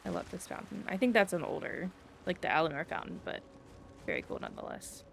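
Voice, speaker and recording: A woman's voice: lowish voice, fast, vocal fry, fairly bright tone, a little hiss or hum. A woman game streamer giving chatty, casual commentary as she plays, talking aloud to herself. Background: game music.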